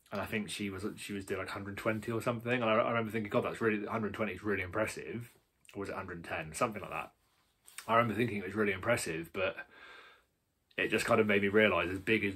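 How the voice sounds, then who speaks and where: Low, husky British man chatting casually. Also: a bit bright. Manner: rambling, emphatic, blunt. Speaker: book reviewer. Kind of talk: casual opinionated chat.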